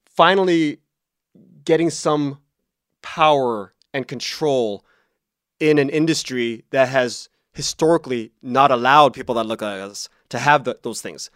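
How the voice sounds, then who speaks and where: A man's voice: medium pitch, measured pace, a bit bright, dry recording, studio quality. A man speaking as an interview guest, telling personal stories conversationally and casually, acting out quotes as he goes. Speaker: interview guest, podcast creator and writer.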